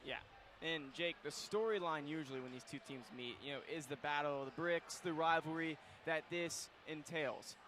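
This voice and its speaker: Young guy hosting basketball pregame, bright and kinda high, rambly, arena hum faint behind. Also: normal speed. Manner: excited, rambling with fillers. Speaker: student radio pregame host. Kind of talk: live basketball pregame talk. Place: courtside in a packed arena.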